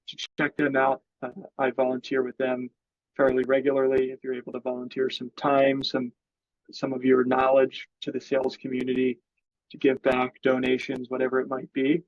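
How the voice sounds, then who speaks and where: A man's voice: mid-range voice, no room sound, muffled recording. A man speaking as an interview guest in sales, explaining his thinking in a casual business conversation.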